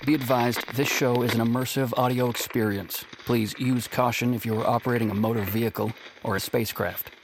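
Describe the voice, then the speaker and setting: A man with a gravelly voice announcing a scripted show introduction and credits. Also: mid-range voice, steady tone, a little fast, hardly any pauses, background noise.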